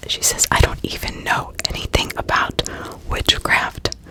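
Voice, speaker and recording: A woman narrating true-crime stories in an ASMR style, in a breathy, unvoiced whisper with sympathetic, dramatic storytelling. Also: a little slow. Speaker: ASMR host.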